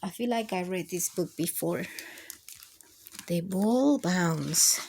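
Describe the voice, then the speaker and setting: A woman's voice: lowish voice, slow, vocal fry, darkish tone, a little hiss or hum. A woman reading picture books aloud to young children, speaking expressively, drawing out words and addressing the little listeners directly. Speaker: children's storytime host and reader.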